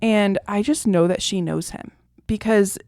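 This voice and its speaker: A woman co-hosts a relaxed podcast, talking in a chatty way full of fillers and breaking into laughter. Her pitch sits mid-range, and the recording is dry and close. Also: unhurried, no echo. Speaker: podcast co-host. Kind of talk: casual podcast chat.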